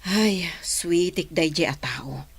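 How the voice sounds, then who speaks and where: A woman playing a poor, patient, hardworking village mother in a radio drama, gently advising. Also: fairly low pitch, slow, gravelly, breathy edge, exaggerated voice. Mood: resentful. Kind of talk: Filipino radio drama acting.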